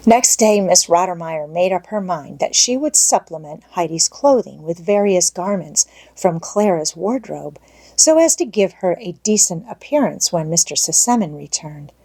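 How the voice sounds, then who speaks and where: A woman's voice: fairly low pitch, expressive, normal speed, warm tone. A woman reading a children's storybook aloud as an audiobook narrator.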